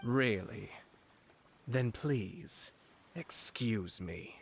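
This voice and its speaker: An actor playing a composed, calculating nobleman commissioner in a video game, speaking in measured, formal, polite phrases. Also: fairly low pitch, expressive, creaky, a little hiss or hum, exaggerated voice. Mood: calm, deliberate. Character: composed male nobleman commissioner serving the Shogun, calmly calculating.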